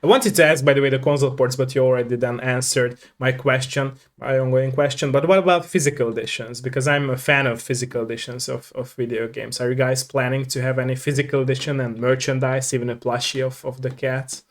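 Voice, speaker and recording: A man's voice: mid-range voice, fairly even intonation, fast talker, few pauses. A man hosting a casual video interview, earnest and polite, often answering with short agreements. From Ukraine. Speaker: interview host.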